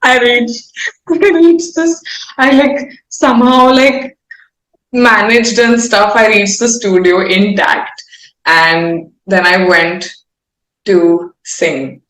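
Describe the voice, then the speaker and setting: A woman's voice: medium pitch, fairly even intonation, takes it slowly, a bit bright. A woman with an Indian accent chats casually and candidly as an interviewed singer, rambling in an informal, self-aware way. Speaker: singer-songwriter being interviewed. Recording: roomy echo.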